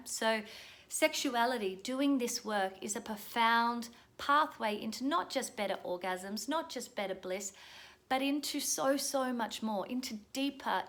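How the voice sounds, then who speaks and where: Hoarse, earnest woman coaching. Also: mid-range voice, a bit bright. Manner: earnest and passionate, often ending with a questioning "yeah?". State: hoarse, losing her voice. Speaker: pleasure coach. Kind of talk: informal motivational video talk.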